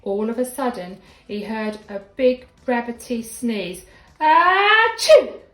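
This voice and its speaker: A woman, sounding like a schoolteacher, reads a children's story expressively, with deliberate, dramatic emphasis. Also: a little high, a little mellow. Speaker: primary school teacher reading a story. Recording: echoey room with background music.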